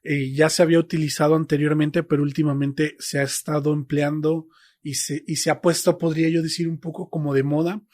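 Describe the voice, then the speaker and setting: A man hosting a casual film discussion, speaking haltingly as he searches for his words. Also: on the high side, flat delivery, a little mellow, no echo, studio quality. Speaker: film podcast host.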